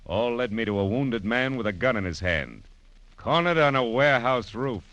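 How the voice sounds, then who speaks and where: An actor playing a sardonic, hard-boiled private eye in an old radio noir, with wry, clipped delivery. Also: male voice, mid-range voice, expressive, normal speed, fairly bright tone. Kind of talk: 1940s-50s radio noir acting and narration. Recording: vintage radio broadcast recording.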